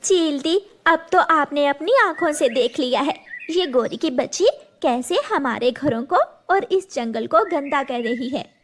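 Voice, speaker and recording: A woman's voice: sits high, animated, unhurried, a bit bright. A woman voicing an aggrieved mother sparrow in a children's cartoon, earnest, scolding and complaining. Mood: pleading complaint. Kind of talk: children's cartoon story dub.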